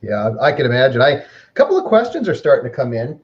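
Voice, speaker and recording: A man's voice: medium pitch, very animated, fast. Chatty male host, lo-fi audio. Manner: easygoing, chatty, lots of um fillers. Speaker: host of a live online show. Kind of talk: casual online interview. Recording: muffled, slightly lo-fi audio.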